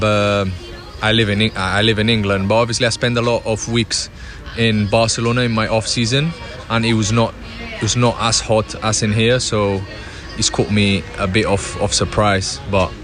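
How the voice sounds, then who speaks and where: A young man from Spain answers questions outdoors beside a hotel pool. His voice sits fairly low, and he keeps restarting and pausing with fillers. Music plays clearly in the background. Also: steady tone. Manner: halting, many restarts and fillers. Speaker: footballer being interviewed. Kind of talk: casual interview answers. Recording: music playing behind.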